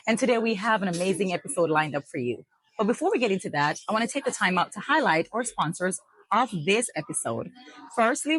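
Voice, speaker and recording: A woman's voice: medium pitch, low-quality recording. A woman hosting a casual talk show from a coffee shop, enthusiastic and upbeat. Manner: upbeat, enthusiastic, warm promoter. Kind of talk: casual interview chat.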